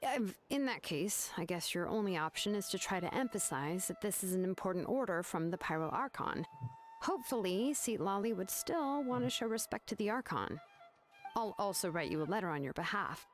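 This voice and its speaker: A woman voicing a level-headed, frank ally in an anime fantasy game, with a measured, slightly wry delivery. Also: medium pitch, expressive, lightly acted. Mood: wry, advising. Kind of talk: anime video game voice acting. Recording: faint music behind.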